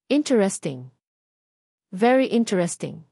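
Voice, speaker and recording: A woman's voice: mid-range voice, very animated, a little slow, warm tone, slightly rough, dry recording, studio quality, heavily acted. A woman doing a smug, teasing young man. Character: smug, mocking older brother, a young man.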